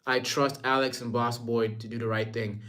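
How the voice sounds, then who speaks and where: A man's voice: medium pitch, flat delivery, moderate pace, fairly bright tone. A young man from Rwanda talking casually and informally to his live-stream viewers, using slang and often repeating himself.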